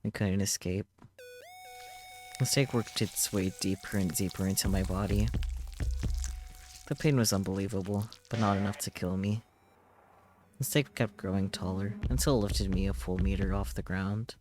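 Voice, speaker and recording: Man, low voice, game streamer, story read-aloud, casual commentary, background music. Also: steady tone, normal speed, pauses often, a little mellow. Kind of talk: dramatic read-aloud of visual novel narration with casual asides.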